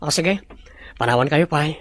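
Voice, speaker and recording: A man playing a polite, welcoming resort gentleman in an Ilocano Filipino audio drama. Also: on the high side, normal speed, no room sound, natural voice. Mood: courteous. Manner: polite, mixes in English greetings.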